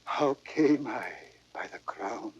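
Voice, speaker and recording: Dying old king for vintage radio Shakespeare: very slow, husky, halting, penitent whisper. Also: medium pitch, lively intonation, a little mellow, lo-fi sound. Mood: weary, penitent. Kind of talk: 1930s radio Shakespeare acting.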